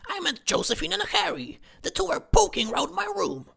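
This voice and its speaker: A woman playing a pompous, sanctimonious elderly aunt in a comedy audio drama, husky and scandalised. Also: very high-pitched, very animated, normal speed, a bit bright, exaggerated voice. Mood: disapproving. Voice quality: gravelly, husky. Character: indignant, pious elderly aunt, sanctimonious village busybody. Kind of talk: hobby comedy murder-mystery audio drama.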